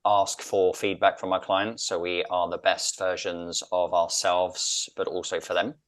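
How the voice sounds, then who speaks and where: A middle-aged man with a southern English accent speaks in a low voice as an interview guest. He is earnest and reflective, restating his phrases with slight variations to drive a point home. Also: little pitch movement, measured pace. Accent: English, southern British. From London, England. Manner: earnest, emphatic, repeats phrases for effect. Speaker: interview guest, executive coach.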